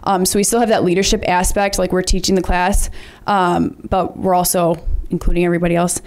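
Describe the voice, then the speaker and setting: A teenage girl, a student leader, presenting to a school board in a chatty way with many ums and likes, and she says she has a loud voice. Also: fairly low pitch, flat delivery, fairly quick. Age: teens.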